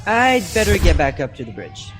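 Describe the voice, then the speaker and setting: A man's voice: sits high, big pitch swings, normal speed, music in the background. A young male performer playing a teenage boy, a reassuring big brother who takes charge, in a sci-fi radio play.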